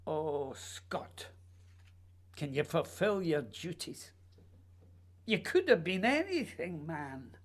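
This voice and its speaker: Husky, slow, dreamy writer, acted by a woman. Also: low-pitched, very animated, heavily acted. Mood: probing. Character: dreamy, evasive male writer, earnest myth-maker. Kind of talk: radio play acting.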